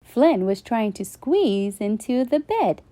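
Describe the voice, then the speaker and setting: Gentle, expressive bedtime storyteller woman. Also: fairly high pitch, slow pace. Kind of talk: children's bedtime story read-aloud.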